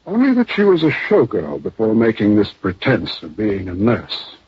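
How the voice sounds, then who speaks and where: A man's voice: lowish voice, huge pitch swings, moderate pace, slight background noise. An actor playing a matter-of-fact, suspicious man giving a formal briefing in a 1950s radio drama. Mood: frank, suspicious. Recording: dull old radio recording, dry.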